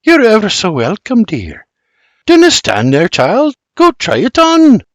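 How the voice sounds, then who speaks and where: A kind, motherly farm wife with a raised, gentle voice that rises and falls a lot, as a man puts her on. She talks tenderly, like a mother in a spoken storybook, recorded close and dry. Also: high-pitched, wildly swooping pitch, a little slow, no echo, voice pushed up, exaggerated voice.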